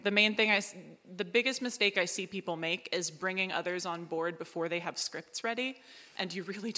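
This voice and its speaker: A woman answering questions on a live panel as a drama writer, talking in an animated, emphatic, conversational way. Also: lowish voice. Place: live event room at a festival. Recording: echoey hall, long reverb.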